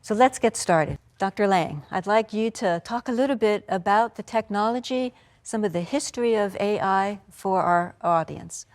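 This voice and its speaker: A woman's voice: medium pitch, normal speed, hardly any pauses. Woman moderating a faculty panel. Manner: encouraging, asks follow-up questions.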